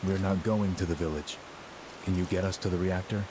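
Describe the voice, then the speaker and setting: A man's voice: deep voice, fairly even intonation, fairly quick, noisy background, lightly acted. A man playing a cool, commanding elite soldier in a video game cutscene, terse and dryly composed. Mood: grave, aloof.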